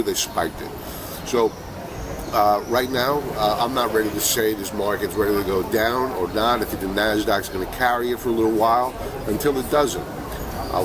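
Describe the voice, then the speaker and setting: A middle-aged man with a cold, sniffling now and then, giving relaxed market commentary as a trading show host with lots of fillers. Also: on the low side, noisy background. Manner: conversational, many fillers and restarts.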